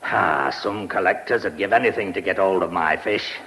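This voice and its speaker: An actor with a British accent playing an eccentric, brusque lordly press baron in a radio drama, speaking in clipped, mumbling phrases. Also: male voice, sits low, moderate pace, bright, forceful tone, slight background noise, poor audio. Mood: proud, absorbed.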